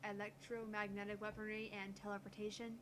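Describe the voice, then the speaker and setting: A woman's voice: mid-range voice, flat delivery, brisk, lightly acted. A woman voicing a skeptical, analytical young female scientist with a snippy, matter-of-fact manner in a visual novel. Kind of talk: visual novel character dialogue read aloud. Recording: clear background noise.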